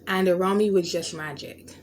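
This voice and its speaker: A woman's voice: on the low side, fairly even intonation, moderate pace, slight background noise. A woman with a stutter and a lisp, hosting a podcast and talking through a personal story in an earnest, reflective way, often restarting words. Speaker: podcast host. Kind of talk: unscripted personal monologue.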